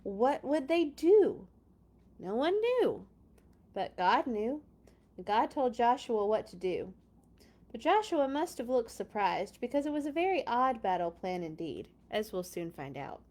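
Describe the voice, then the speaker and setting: Expressive woman reading aloud to children. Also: on the high side, measured pace. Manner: chatty, playful, dramatic emphasis for children. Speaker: host of a children's read-along. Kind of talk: expressive storybook reading with casual asides.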